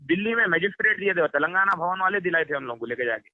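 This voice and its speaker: A man's voice: high voice, expressive, racing, no echo. A man calling for help on a phone line, speaking politely and respectfully. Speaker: caller seeking help. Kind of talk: phone conversation. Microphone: telephone line.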